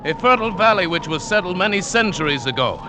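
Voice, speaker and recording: An actor playing a grave, superstitious mountain guide in an old radio drama, speaking formally with music playing behind him. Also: male voice, sits high, animated, quick pace, background noise. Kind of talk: 1940s radio acting.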